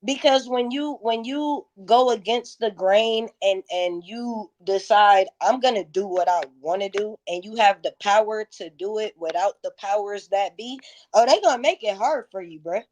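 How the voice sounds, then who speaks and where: A woman's voice: mid-range voice, moderate pace, a little mellow, low-quality recording. A woman in her thirties telling stories candidly in a casual interview, with slangy, profane, emphatic phrasing. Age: 30s. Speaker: interview guest, music performer.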